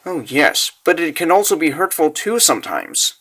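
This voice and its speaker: A man's voice: a little high, very animated, moderate pace, fairly bright tone, slightly rough, pitched above the performer's own voice, full character voice. A man voicing an earnest, spirited young girl in a comic dub, with emotional, exclamatory delivery. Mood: tender.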